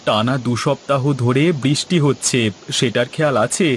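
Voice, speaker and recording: A man's voice: medium pitch, fairly even intonation, moderate pace, slight background noise, close to an everyday voice. A man voicing a caring village market trader in a cartoon, speaking dialogue in an earnest, folksy, advising manner over music. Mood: worried. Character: caring village market trader, husband, elder-brother figure.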